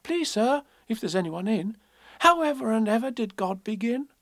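Man putting on a high, insistent little girl. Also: lively intonation, moderate pace, a bit bright, dry recording, studio quality. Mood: pleading.

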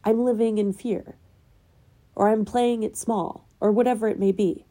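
A woman with a very dark, mellow voice speaks as host of her own show, a little nasal from a lingering cold. She presses her listeners with earnest, probing questions in a spiritual self-help talk. Her pitch sits in an ordinary middle range. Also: steady tone, moderate pace. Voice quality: slightly nasal. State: getting over a cold. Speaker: podcast host.

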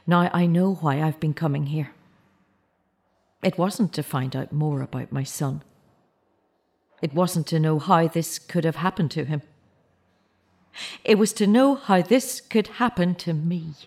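An actress playing a guilt-racked, middle-aged grieving mother in an audio drama, anguished, halting and hard on herself. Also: on the low side, darkish tone. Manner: anguished, self-accusing, halting.

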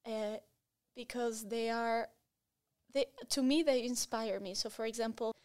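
Higher-voiced woman with Latin American Spanish accent. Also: fairly even intonation, measured pace, a little mellow, smooth. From Colombia and Spain. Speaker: interview guest. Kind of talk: conversational interview answers.

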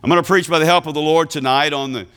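A man's voice: fairly even intonation, fairly bright tone, smooth. A man, a visiting preacher whose voice is scratchy from illness, preaching in a folksy way and calling out amen to the congregation. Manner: reads scripture slowly with rising pitch and emphasis. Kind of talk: sermon.

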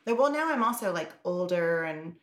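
A woman's voice: fairly low pitch, big pitch swings, moderate pace, a bit bright. A woman co-hosting a podcast, talking candidly and loosely, with lots of "like" fillers and casual swearing. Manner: candid, rambling, heavy on "like" and swearing. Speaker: podcast co-host.

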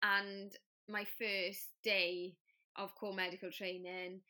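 Welsh woman, young adult, bright tone, chatty, lots of ums. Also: mid-range voice, little pitch movement, slow. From Wales. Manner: friendly, chatty storyteller with many fillers. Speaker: guest, paediatric trainee doctor. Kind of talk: casual interview chat.

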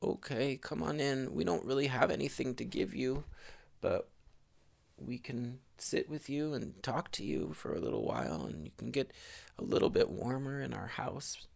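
A poor, heartbroken old woman from a bedtime tale, her voice lifted high and pleading, as a man puts her on. She sounds worn down and desperate, with a rough, gravelly edge underneath. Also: big pitch swings, moderate pace, full character voice. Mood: kindly. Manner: pleading, plaintive.

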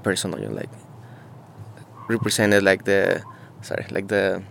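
A man with a fairly low voice, speaking haltingly as he searches for his words. He is recorded outdoors on a field recorder, with faint traffic in the background. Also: sing-song, gravelly. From Mexico. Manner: halting, searching for words, many fillers and restarts. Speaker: muralist interviewee. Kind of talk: casual on-site interview. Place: outdoors beside a mural.

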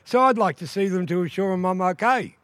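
High, excited duck woman, as a man puts her on. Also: dry recording. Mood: pleading. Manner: high, loud, drawn-out calls.

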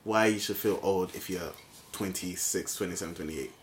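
A man in his late twenties chatting casually on a podcast, animated and quick to laugh. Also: deep voice. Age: 20s. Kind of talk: casual chat. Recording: echoey room with faint noise.

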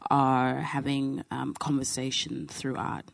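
A young man with a gravelly edge to his voice, speaking at a middling pitch. He takes the audience mic at a post-show discussion and works his way through a long, searching question. He circles back and restarts as he thinks aloud. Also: completely flat delivery, takes it slowly. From Zimbabwe. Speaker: audience member asking a question.

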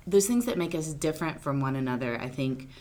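A woman attorney from Arkansas, answering interview questions in a loud, outgoing, talkative way. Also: deep voice, animated, fairly quick. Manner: loud, big personality, talkative. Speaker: attorney guest.

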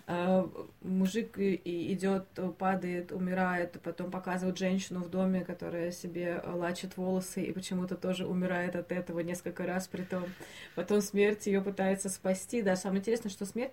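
A woman co-hosting a podcast in casual discussion, speaking in a thoughtful, halting way. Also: fairly low pitch, flat delivery, darkish tone. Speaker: podcast co-host. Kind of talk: casual discussion of animated films.

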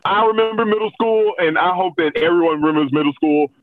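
A man's voice: high-pitched, fast talker, slight background noise. A middle-aged American man talking candidly and casually in an interview, with self-deprecating humour. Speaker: host of a children's story reading show, being interviewed. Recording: thin, phone-like call audio.

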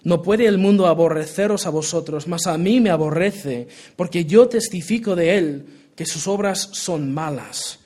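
A man's voice: on the high side, measured pace, few pauses, a little mellow. A young man raised in Portugal preaching, his Spanish accented by Portuguese and American English; earnest, with many restarts. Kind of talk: sermon, Bible reading and prayer.

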